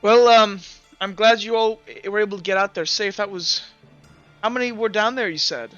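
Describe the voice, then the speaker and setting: A man voicing a concerned, businesslike female military commander in a tabletop game, halting and reassuring. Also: high-pitched, a bit bright, clean tone, dry recording, highs cut off, faint music behind, voice pushed up.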